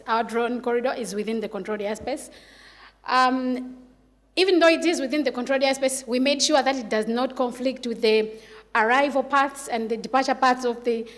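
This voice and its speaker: A woman from Malawi, an air traffic controller giving a conference talk, explaining steadily with fillers and restarts. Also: on the high side. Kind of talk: conference presentation. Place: large conference hall. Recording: long hall reverb.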